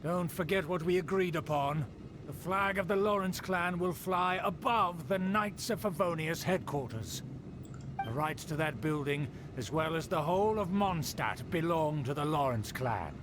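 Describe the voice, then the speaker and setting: An actor playing a haughty, scheming middle-aged aristocrat in a video game, speaking in a pompous, indignant manner. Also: male voice, on the high side, normal speed, noisy background, natural voice. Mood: gloating.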